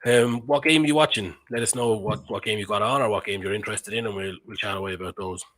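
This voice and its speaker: A fast, bright-voiced man hosts a live sports betting stream and keeps talking straight to his viewers. His voice sounds a little husky and nasal today. He speaks a touch low, in a chatty, easygoing way. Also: low-quality recording. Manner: chatty, addresses viewers directly. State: nasal-sounding, has a cold. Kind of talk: casual live stream chat. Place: in Belgium.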